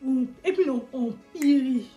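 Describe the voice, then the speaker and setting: A young woman with an Ivorian accent chats casually and animatedly, answering questions about her roots. Her voice sits fairly high. Faint music plays underneath. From Côte d'Ivoire. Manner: lively, animated, quick to tease. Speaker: vlogger.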